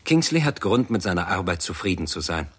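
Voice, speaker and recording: An actor as the sober narrator of a 1960s German science fiction radio drama, reading in a factual, precise style. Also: male voice, low-pitched, sing-song, fairly quick, fairly bright tone, breathy edge, full character voice.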